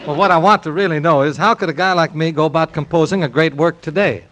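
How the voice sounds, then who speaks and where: A man's voice: on the high side, fast talker, a bit bright, a little hiss or hum. A man playing straight man in scripted radio comedy banter, eagerly feeding questions, on a vintage recording.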